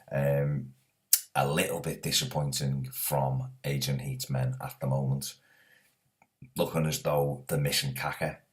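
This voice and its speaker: A man hosting a casual soccer preview, hoarse from a cold, chatty with lots of fillers. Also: a bass voice. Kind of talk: casual solo sports preview.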